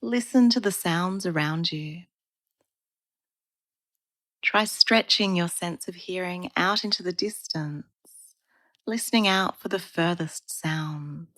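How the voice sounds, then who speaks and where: Slow, low-voiced woman guiding meditation. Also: no echo. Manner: calm, soothing, unhurried with long pauses. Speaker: yoga therapist guiding a relaxation. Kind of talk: guided meditation narration.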